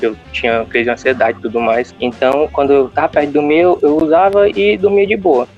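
A man's voice: fairly high pitch, steady tone, brisk, soft background music. Caller: man, Fortaleza accent, rambling, muffled lo-fi line. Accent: Fortaleza Brazilian Portuguese. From Fortaleza, Brazil. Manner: chatty, rambling with restarts. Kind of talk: casual chat.

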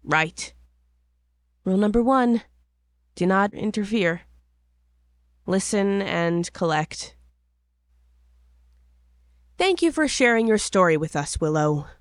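A woman playing a tender-hearted young apprentice who narrates a fantasy audio drama in a gentle, measured, earnest way. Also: mid-range voice, expressive, no echo, studio quality. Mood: sympathetic, restrained.

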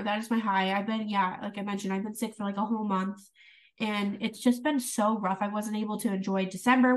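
A woman's voice: mid-range voice, distant mic. Giggly young woman podcast co-host. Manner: giggly, filler-heavy, with many "like"s. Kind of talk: casual chat.

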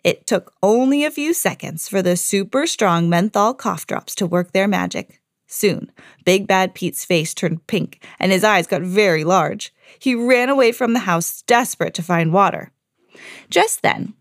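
Animated woman reading a children's story. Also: mid-range voice, moderate pace, dry recording, studio quality. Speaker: podcast host and children's story reader.

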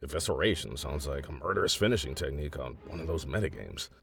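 An actor playing an exasperated, sarcastic boyfriend in an audio sitcom, sardonic and bickering. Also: male voice, extremely low pitch, fast, creaky, a bit bright, soft background music, exaggerated voice. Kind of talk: sitcom audio theatre acting.

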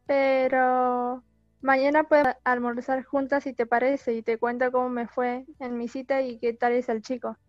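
Radio play lead: scheming young woman, female actor, high pitch, slightly dull recording. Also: flat delivery, moderate pace, no room sound, faint music behind, natural voice. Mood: evasive, friendly. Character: scheming young woman secretly bent on avenging her father. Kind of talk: amateur radio play acting.

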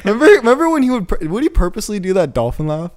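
Giggly young man with a high lively voice. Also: very fast. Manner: giggly, rambling, hedging. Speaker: podcast co-host. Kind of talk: casual banter among friends.

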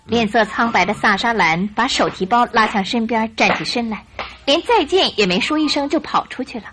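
A woman narrating a radio drama from a script in an expressive storytelling manner. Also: on the high side, normal speed. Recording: radio drama with music behind.

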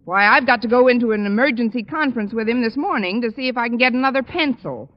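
An actress playing a wry, wisecracking English teacher with a dry, arch manner in a radio sitcom. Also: female voice, fairly high pitch, fast talker, close to an everyday voice. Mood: wry, teasing. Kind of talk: 1940s-50s radio sitcom acting. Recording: muffled, very noisy old radio, studio audience.

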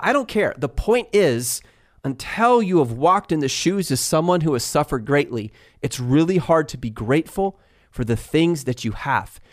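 A middle-aged man co-hosting a casual motivational talk, emphatic and animated as he tells stories. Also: on the high side. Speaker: podcast co-host, business owner.

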